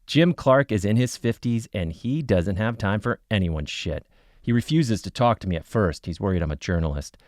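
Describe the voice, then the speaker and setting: Casting: actor as wry investigator-narrator, very low male voice, measured storytelling, close dry studio sound. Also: animated, darkish tone, no room sound, close to an everyday voice. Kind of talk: scripted first-person podcast narration.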